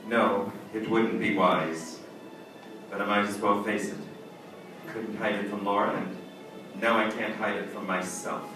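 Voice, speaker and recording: Male actor as lovestruck middle-aged judge, radio drama. Distant, reverberant, low register, formal confessional delivery. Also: fairly even intonation, unhurried, lightly acted. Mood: hesitant, tender. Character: infatuated middle-aged married judge, wistful and evasive. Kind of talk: old-time radio drama acting and first-person narration. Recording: echoey, far-off stage recording with music.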